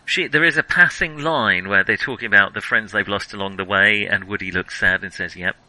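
A man co-hosting a casual film discussion, talking in a wry, animated, emphatic way. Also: fairly low pitch, fairly quick, rough recording. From Europe. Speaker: podcast co-host.

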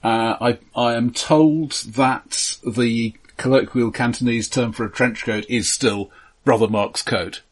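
A middle-aged man co-hosting a film podcast in a halting, analytical way, repeating words as he thinks through his explanations. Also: mid-range voice, steady tone. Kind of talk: casual film discussion.

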